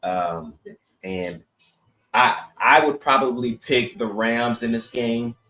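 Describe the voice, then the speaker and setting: Man talking sports, halting and casual. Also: medium pitch, pushed and bright, rough recording. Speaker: sports podcast host.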